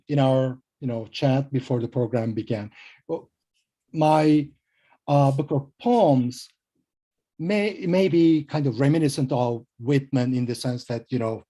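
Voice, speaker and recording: Mellow-voiced man with a Turkish accent. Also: mid-range voice. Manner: hesitant, many fillers and restarts, self-deprecating. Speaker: translator and poet guest. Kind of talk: conversational panel interview.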